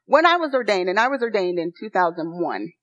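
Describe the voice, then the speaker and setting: A soft-spoken woman pastor preaching a sermon, earnest and rising to excited encouragement. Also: mid-range voice, a little fast, no room sound. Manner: earnest, building to excited exhortation. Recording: dry, dull lo-fi sound.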